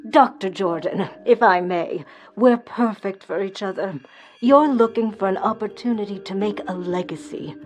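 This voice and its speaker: A woman's voice: mid-range voice, moderate pace, darkish tone, soft background music, close to an everyday voice. An actress playing an earnest, determined wealthy woman in a radio drama, speaking persuasively.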